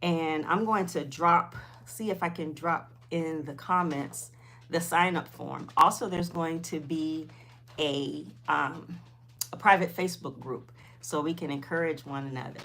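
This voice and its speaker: A woman in her fifties hosts a casual livestream. Her voice sits a little low and she takes her time. She talks informally to viewers as they come in, going back over what she has said. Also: unhurried, a bit bright. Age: 50s. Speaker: host of a weight loss livestream.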